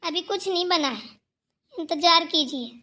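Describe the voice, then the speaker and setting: A woman's voice: very high voice, normal speed, pushed and bright. A woman voicing an outspoken, hardworking younger daughter-in-law in a Hindi family cartoon, who talks back, teases and moralizes. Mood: retorting. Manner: talking back, teasing, moralizing.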